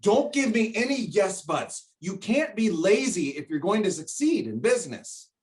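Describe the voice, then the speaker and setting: A man's voice: high-pitched, big pitch swings. Guy playing pushy greedy store owner dad, swoops all over, stagey, sounds far from the mic. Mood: bossy, impatient. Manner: blustering, emphatic, drawn-out words. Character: bossy, greedy shopkeeper father, middle-aged, hard-sell huckster. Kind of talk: stage play acting over video call.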